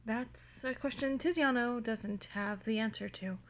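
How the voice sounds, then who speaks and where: A woman giving an amateur, theatrical and giggly dramatic reading of fan fiction, recorded in low quality on a phone. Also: unhurried, rough recording. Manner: giggly, theatrical, easily cracking up.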